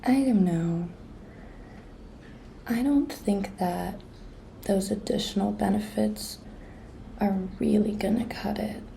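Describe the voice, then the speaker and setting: Slow, whispery, flirty office intern played by a woman. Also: medium pitch, warm tone, slight background noise. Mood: teasing, bargaining. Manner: breathy, coy, slow and deliberate, often dropping to a whisper. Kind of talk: whispered ASMR roleplay.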